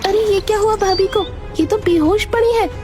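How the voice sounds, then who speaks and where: A woman voicing an excitable young sister-in-law in a cartoon, girlish and earnest. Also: very high-pitched, normal speed, music in the background. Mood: worried.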